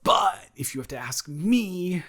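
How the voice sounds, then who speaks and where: A man playing a smitten, playful young roommate in an improvised romantic audio roleplay, talking in a halting, self-correcting, jokey ramble. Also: high voice, expressive, fairly bright tone, heavily acted. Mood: earnest, teasing.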